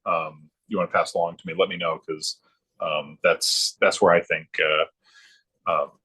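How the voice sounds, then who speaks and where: A middle-aged man chatting casually about his hobby as a podcast guest, haltingly, often circling back and repeating himself. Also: deep voice, flat delivery, pushed and bright, husky. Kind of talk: casual chat about comic books.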